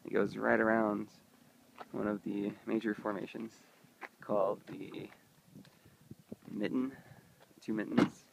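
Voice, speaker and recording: A man's voice: fairly low pitch, slow, gravelly, long pauses, noisy background. A young man narrating his road trip casually while filming outdoors in open desert on a handheld camera. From Indiana, United States. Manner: relaxed, touristy commentary while walking.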